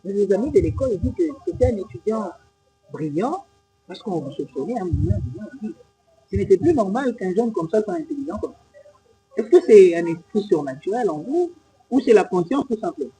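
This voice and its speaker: High, lively male interviewer. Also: a little fast, faint music behind, poor audio. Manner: probing, insistent questioning. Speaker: TV host, interviewer.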